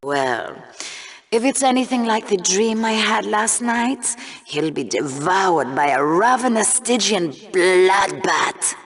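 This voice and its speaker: A woman's voice: mid-range voice, expressive, slow pace. Sardonic, morbid female game show co-host. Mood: morbidly sardonic. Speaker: co-commentator. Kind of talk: scripted video game commentary.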